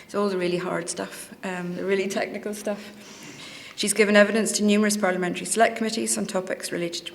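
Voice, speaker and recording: A woman's voice: on the low side, gravelly, slight background noise. A woman hosting an event, reading out speaker biographies with light asides. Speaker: event host introducing speakers. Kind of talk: formal speaker introduction. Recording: reverberant hall sound.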